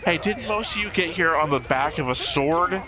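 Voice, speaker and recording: A man's voice: fairly high pitch, animated, moderate pace. A man giving casual, wry and opinionated reaction commentary on a show. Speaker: anime reaction host.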